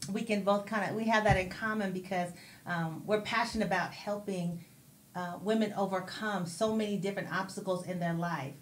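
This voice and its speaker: Woman radio host, affirming and faith-minded, heard in an echoey room with faint hiss. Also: medium pitch. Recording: roomy echo with faint background noise.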